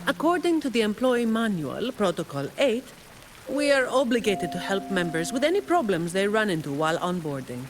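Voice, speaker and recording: A woman's voice: medium pitch, big pitch swings, moderate pace, soft background music, natural voice. A woman playing a composed, dutiful young employee in a video game, businesslike, matter-of-fact and resolute. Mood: formal, matter-of-fact.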